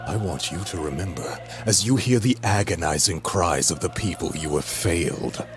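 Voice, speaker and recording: A man's voice: low-pitched, lively intonation, moderate pace, music in the background. An actor playing an ominous, taunting, accusing man who pronounces doom in a video game cutscene. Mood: menacing, accusing. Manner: accusing, mocking, portentous.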